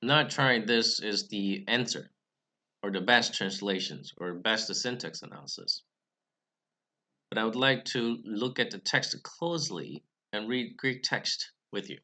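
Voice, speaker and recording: A man's voice: fairly low pitch, animated, sharp tone. A young man with English as his second language, giving a careful scripted talk about reading Greek scripture. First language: not English. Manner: careful, measured delivery with occasional restarts. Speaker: Bible study channel host. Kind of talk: scripted explanatory talk to camera.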